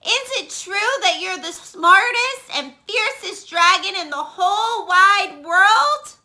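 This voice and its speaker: A bold, crafty young princess from a storybook, played by a woman. She speaks eagerly and emphatically in a high, piercingly bright voice that swoops with excitement. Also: slow pace, exaggerated voice. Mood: eager, challenging. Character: bold, clever young princess who flatters a dragon. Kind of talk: children's storybook read-aloud.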